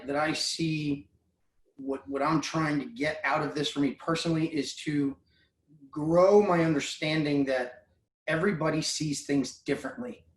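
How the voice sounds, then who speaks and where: A man in his forties from Southern California talks thoughtfully, with a slightly raised pitch. His voice sounds distant and echoey, as if picked up from across a room. He rambles a little as he gathers his thoughts. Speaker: football coach. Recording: echoey room, far from mic.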